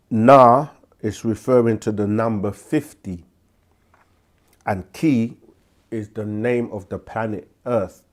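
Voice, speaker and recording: A man hosting a live question-and-answer stream, speaking with a sore throat and a congested voice, deliberate and full of restarts. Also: on the low side, darkish tone. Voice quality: slow, deliberate delivery with frequent restarts. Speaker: host answering viewer questions. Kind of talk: livestream Q&A teaching.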